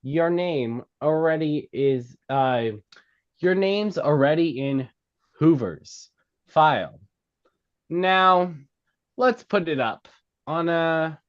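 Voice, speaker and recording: Blunt comedy club worker played at a table read, insistent, slow delivery, very animated pitch, slightly dull recording. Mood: pushy. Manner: emphatic, stumbles over the script.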